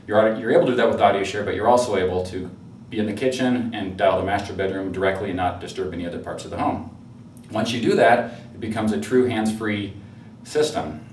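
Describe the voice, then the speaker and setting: A man's voice: on the low side, darkish tone. A man giving an informal product demonstration, explaining conversationally and a little hesitantly as a presenter. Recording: roomy, distant mic with faint noise.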